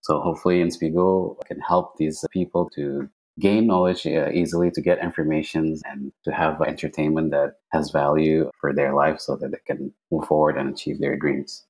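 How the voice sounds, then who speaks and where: A man from Indonesia answering interview questions in an unhurried way, with frequent fillers and restarts. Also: a bass voice, little pitch movement, darkish tone, low-quality recording. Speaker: podcast guest, startup founder.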